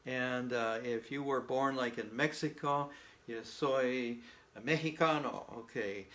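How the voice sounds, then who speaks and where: A man's voice: medium pitch, fairly even intonation, slow, fairly bright tone. A man whose first language is English, giving a casual spoken Spanish lesson in a patient, folksy way and checking in often with "okay?". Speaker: Spanish teacher.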